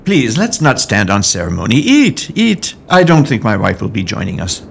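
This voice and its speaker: A genial Victorian gentleman of an audio drama, played by a man, low in pitch and very animated. He speaks politely and reassuringly, like a fond uncle, over a faintly noisy night-time ambience. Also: moderate pace, creaky, heavily acted. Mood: genial, evasive. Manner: polite, old-fashioned, reassuring phrasing. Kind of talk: period audio drama acting.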